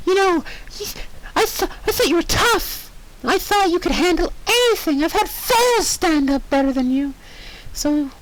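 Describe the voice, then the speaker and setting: A chirpy young cartoon pony mare acted out by a man, pitched way up high. She is manic and cruel, scolding in a pouty sing-song that jumps around with animated swings. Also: a little hiss or hum, poor audio. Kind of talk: cartoon fan fiction character reading.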